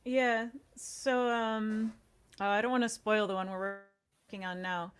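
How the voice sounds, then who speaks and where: Chatty woman with a mid-pitched voice. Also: steady tone, a little slow, clear, resonant tone. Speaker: podcast guest, audio series co-creator. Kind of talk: casual interview chat.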